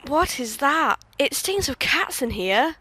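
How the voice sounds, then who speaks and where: A woman's voice: sits high, very animated, takes it slowly, pushed and bright, heavily acted. A woman in a radio play acting an impatient young granddaughter, exclaiming and complaining. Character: impatient young granddaughter searching for her gran. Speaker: amateur radio play actor.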